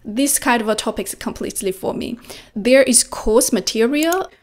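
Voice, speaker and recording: A woman's voice: fairly high pitch, animated, normal speed. A young woman from China, a native Chinese speaker, giving instructional advice to camera on a close desk microphone. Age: young adult. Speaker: language-learning video host. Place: quiet room at home. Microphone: close desk microphone with pop filter.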